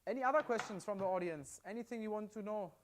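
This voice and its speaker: A man's voice: high voice, quick pace, a little hiss or hum. Man, german accent, live product demo, chatty, explains step by step, mic clipped at his side. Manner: chatty, upbeat, explains step by step with frequent "yeah?" tags. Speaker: livestream product presenter. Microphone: mic worn at his side.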